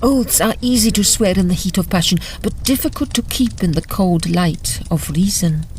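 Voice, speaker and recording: Woman acting secretive imperious italian marchesa, audio drama, lowish voice, formal and guarded, faint ambience behind. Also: normal speed, darkish tone, a little hiss or hum, close to an everyday voice. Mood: coolly firm.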